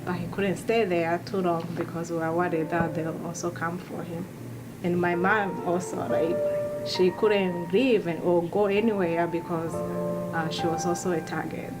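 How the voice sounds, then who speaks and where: A young woman from Rwanda telling her life story in an interview, soft-spoken and halting, often restarting her sentences. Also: fairly low pitch, steady tone, poor audio. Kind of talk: personal testimony interview. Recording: music bed underneath.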